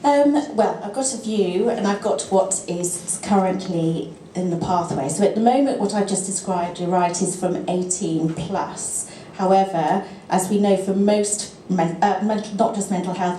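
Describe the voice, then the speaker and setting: Mellow-voiced woman in a roomy lecture room. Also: fairly low pitch. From England. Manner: measured, thinks aloud with fillers and restarts. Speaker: speaker at a GP teaching session. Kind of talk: presentation and answering questions. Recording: roomy lecture-room sound, faint noise.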